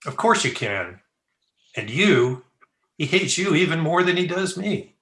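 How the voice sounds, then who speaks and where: A man's voice: on the high side, sing-song, measured pace, a bit bright, exaggerated voice. An actor playing a bitter, broken, drink-sodden man in an old-time gothic radio drama, accusing and theatrical. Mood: bitter, goading. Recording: roomy, echoing home video-call recording.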